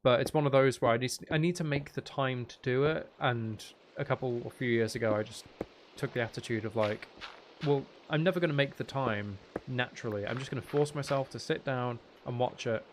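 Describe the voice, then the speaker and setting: A man's voice: mid-range voice, a little fast, a little hiss or hum. A man streaming a game, chatting casually in a rambling, hesitant way as he thinks aloud. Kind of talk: casual chatty gaming livestream commentary.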